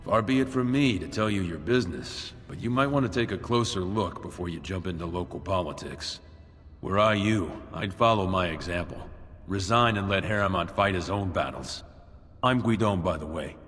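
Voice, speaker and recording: An actor playing a plain-spoken, wary dwarf warrior in a video game, blunt and measured. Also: male voice, deep voice, expressive, a bit bright. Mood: cautioning. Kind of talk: video game character acting. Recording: long reverb, music behind.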